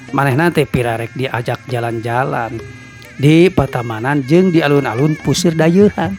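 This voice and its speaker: Slow-paced male folktale narrator. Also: mid-range voice. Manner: slow, warm storytelling, greets listeners formally. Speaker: storyteller. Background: faint music.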